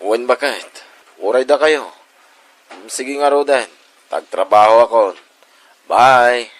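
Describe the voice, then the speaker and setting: A man's voice: medium pitch, slow pace, close to an everyday voice. A man playing a loving, hardworking husband and father in an audio drama, earnest and affectionate. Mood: earnest, resigned.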